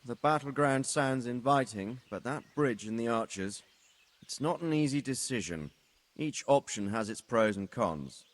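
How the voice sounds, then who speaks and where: Man playing a commanding medieval nobleman, measured delivery. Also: mid-range voice, lively intonation, natural voice. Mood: deliberating, resolute. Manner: authoritative, measured, questioning. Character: commanding, deliberate medieval nobleman leading soldiers. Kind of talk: video game cutscene acting.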